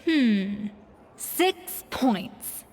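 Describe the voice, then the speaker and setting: A woman's voice: on the high side, lively intonation, extremely slow, long reverb tail, noisy background. A woman voicing a proud, exacting young female chef in a video game, speaking in a haughty, critical manner. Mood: withering, critical. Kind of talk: video game character acting.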